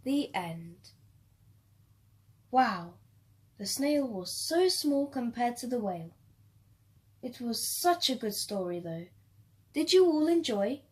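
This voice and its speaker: A woman reading a rhyming children's story aloud in an expressive, measured way. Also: fairly high pitch, breathy edge.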